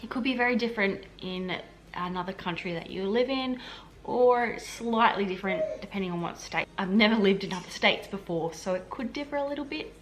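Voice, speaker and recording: A woman's voice: mid-range voice, a bit bright, low-quality recording. An Australian woman, a hospital admin officer, chatting casually to camera in a rambling way with lots of fillers and restarts. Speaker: health administration officer and vlogger. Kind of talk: casual vlog explaining to camera.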